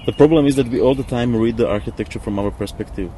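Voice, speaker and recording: A man, speaking in a halting, searching way during a reflective interview. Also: mid-range voice, mellow. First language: not English. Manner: halting, searching for words with repetitions. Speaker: interview guest, former architect. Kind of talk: casual reflective discussion. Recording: noisy outdoor ambience with birds, traffic.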